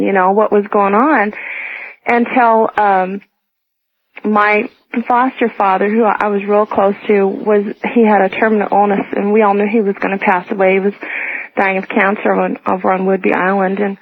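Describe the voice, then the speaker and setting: A woman calling a radio show, telling a rambling personal story in a casual, halting way. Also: mid-range voice. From United States. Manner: halting, often clears her throat. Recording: tinny, narrow telephone line.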